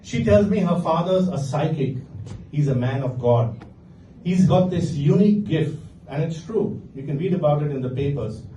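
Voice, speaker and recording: A middle-aged man from India with an Indian accent, performing a stand-up comedy set with a dry, deadpan delivery. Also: fairly high pitch, moderate pace, a little hiss or hum. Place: comedy venue with live audience. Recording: echoey room, distant mic, faint ambience.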